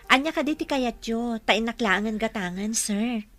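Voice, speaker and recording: A woman's voice: on the high side, moderate pace, fairly bright tone, close to an everyday voice. An actress playing a modest, bashful young female clerk in an Ilocano-accented radio drama. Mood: polite, shy. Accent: Filipino, Ilocano.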